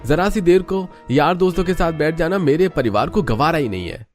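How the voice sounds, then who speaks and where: A man's voice: a little high, lively intonation, brisk, soft background music, lightly acted. A man playing a lazy, scheming young son in a Hindi cartoon story, with a whiny, cocky manner. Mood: annoyed.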